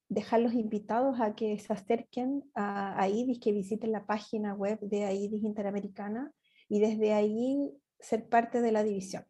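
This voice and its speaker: A woman's voice: mid-range voice, mellow, husky. Earnest woman giving webinar remarks. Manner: earnest, repeats words when searching. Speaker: webinar panelist. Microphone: video call.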